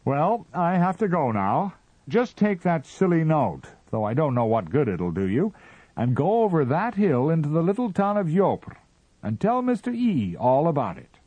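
A man's voice: a little high, sing-song, moderate pace, warm tone, husky, no echo, pitched above the performer's own voice. A man playing a peevish, pompous Martian official. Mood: dismissive. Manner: peevish, lecturing, sarcastic. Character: fussy, pompous, self-important male Martian official.

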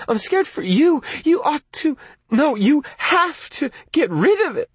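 A frightened teenage girl begs down a telephone line, her high, wavering voice always close to tears, as a male audiobook narrator puts her on. The delivery is husky and dry, with wide swings in pitch. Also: sing-song, measured pace, dry recording, exaggerated voice. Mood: pleading, tearful. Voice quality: wavering, tearful.

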